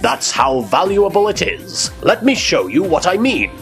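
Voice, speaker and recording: A man voicing a smug, pompous host narrating a sarcastic comedy tutorial in a deadpan way. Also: high-pitched, a little slow, soft background music.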